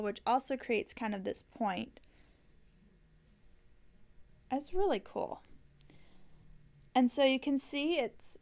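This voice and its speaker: A woman's voice: fairly high pitch, a little mellow. A woman hosting a knitting podcast, chatting casually and rambling, correcting herself often with many ums. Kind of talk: casual chat.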